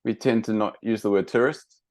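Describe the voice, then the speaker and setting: A man with a New Zealand accent, guest on a travel podcast, explaining at length in a relaxed way with many fillers and restarts. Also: lowish voice, a little fast, no echo, clean studio sound. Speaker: guest, mountain monk trainer. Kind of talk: casual interview.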